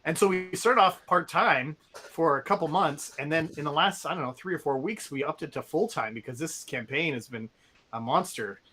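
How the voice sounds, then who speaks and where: A man hosts a casual livestream, rambling cheerfully and filling pauses with ums and likes. His voice is fairly high and bright. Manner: rambling, upbeat, many fillers. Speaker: game publisher host.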